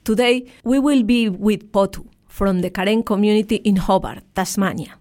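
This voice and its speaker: A woman's voice: mid-range voice, moderate pace, a little mellow. A woman hosting and interviewing on a community radio programme.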